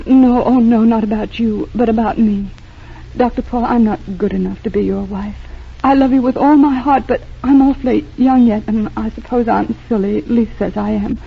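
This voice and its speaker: Anguished young lady in love, halting and emotional, husky, tinny old radio drama sound. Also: a little high, steady tone. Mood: anguished, sincere. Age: young adult. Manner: halting, emotional, earnest delivery. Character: earnest young woman torn by love, anguished. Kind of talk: 1940s radio drama acting.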